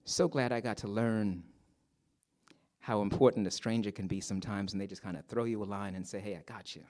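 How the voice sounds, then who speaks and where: Man, low voice, recital presenter, relaxed conversational storytelling. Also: a little fast. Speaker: student presenter. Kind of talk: performed personal narrative at a recital.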